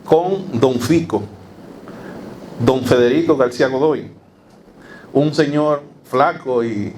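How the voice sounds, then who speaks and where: A man giving a university lecture, speaking in a measured way with frequent fillers and rhetorical asides. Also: mid-range voice, a little mellow, a little hiss or hum. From Dominican Republic. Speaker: academic speaker.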